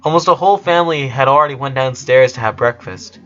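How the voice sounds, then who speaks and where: A man reading a story aloud as an expressive narrator, voicing dialogue between passages of prose. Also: mid-range voice, fast, dull audio. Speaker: narrator reading fan fiction.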